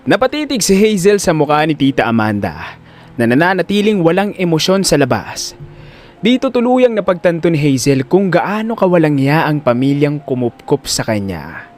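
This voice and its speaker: A man's voice: on the high side, big pitch swings, moderate pace, a little mellow. A man narrating an audio drama in a scripted, dramatic storytelling style.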